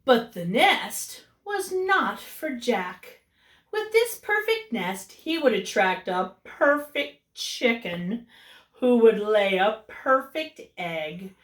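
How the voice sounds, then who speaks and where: A woman kindergarten teacher reading a picture book aloud expressively and chatting to young children. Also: fairly high pitch. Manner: animated, explains words to children, drawn-out delivery when reading. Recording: roomy echo, distant mic.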